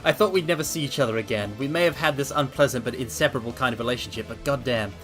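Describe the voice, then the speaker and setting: A man's voice: medium pitch, rapid-fire, fairly bright tone. A man streaming a visual novel, reading the narration aloud theatrically and adding casual asides. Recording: faint game music behind voice. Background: game soundtrack.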